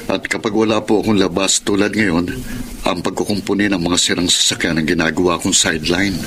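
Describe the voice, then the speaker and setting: Actor as a low-voiced humble working father, noisy recording. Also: steady tone, brisk, natural voice. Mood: humble, earnest. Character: humble, hardworking jeepney driver father, worried, respectful. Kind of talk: Filipino radio drama acting. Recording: noisy radio drama recording.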